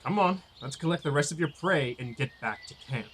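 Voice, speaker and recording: A man voicing a stern, menacing warrior tomcat who talks slowly and coldly in a fan-made audiobook. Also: fairly high pitch, lively intonation, a bit bright, husky. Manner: cold, slow, threatening.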